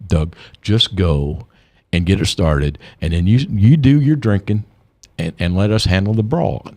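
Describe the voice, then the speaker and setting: An older man, a guest on a radio talk show, telling stories in an animated way and repeating words as he gets going. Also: sits low, a little slow. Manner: animated storyteller, repeats words when excited. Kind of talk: radio interview.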